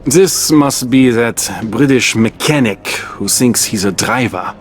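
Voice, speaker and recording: An actor playing an arrogant, lecherous German racing driver villain in a video game, with a smug, menacing drawl. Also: male voice, mid-range voice, slow pace, soft background music, natural voice. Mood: smug, leering. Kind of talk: video game cutscene acting.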